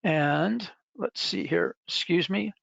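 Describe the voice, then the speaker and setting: A man with a slightly high voice gives an explanatory product presentation on a web call. He pauses and hesitates as he works through each point. The sound is dry and a little dull, like lo-fi webinar audio. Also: vocal fry, a bit bright, no room sound.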